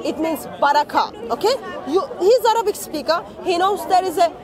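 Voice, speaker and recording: High-pitched woman insisting in a crowd. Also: lively intonation, moderate pace. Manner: insistent, repeats her question. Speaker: street debater. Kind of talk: outdoor debate. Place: outdoor park among a crowd. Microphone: far camera. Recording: crowd chatter outdoors.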